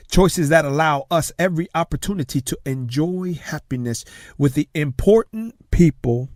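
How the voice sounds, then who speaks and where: Man from Tonga, native Tongan speaker, motivational coach, very slow deliberate delivery, dry close mic. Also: on the high side, no echo. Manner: slow, emphatic, dramatic pauses, drops to soft near-whispers. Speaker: host, success coach.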